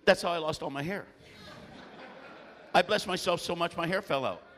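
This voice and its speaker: A man preaching a sermon with a loud voice and animated storytelling, often clearing his throat. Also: a little high, moderate pace, long reverb tail.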